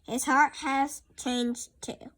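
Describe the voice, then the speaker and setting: A young girl reads a storybook aloud in a high voice. Her delivery is very slow and careful, halting over words as she goes. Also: level tone, fairly bright tone. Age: child. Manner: careful, halting word-by-word reading. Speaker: young host reading picture books aloud.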